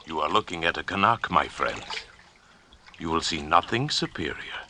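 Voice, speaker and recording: An actor in a 1940s radio drama playing a cultured, condescending convict doctor who leads coolly, in measured formal speech. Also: male voice, sits low, animated, sharp tone, full character voice. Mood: disdainful. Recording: hissy, clicky 1940s radio recording.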